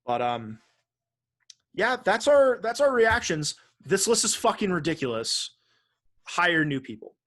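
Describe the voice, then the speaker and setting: A man's voice: high voice, very animated, unhurried, a bit bright. Man hosting a casual gaming podcast. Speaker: podcast host. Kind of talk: casual video game podcast commentary.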